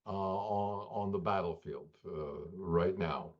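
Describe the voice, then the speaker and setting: A man giving spoken political analysis as an interview guest, hesitant and often pausing mid-sentence. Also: low voice, dull audio.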